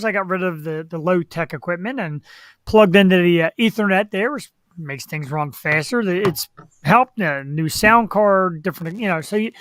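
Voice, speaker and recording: A man's voice: sits high, a bit bright, no echo. A man hosting an online talk show with playful, self-deprecating banter and a slightly nasal voice, speaking into a computer microphone. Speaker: host. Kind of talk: casual live-stream chat.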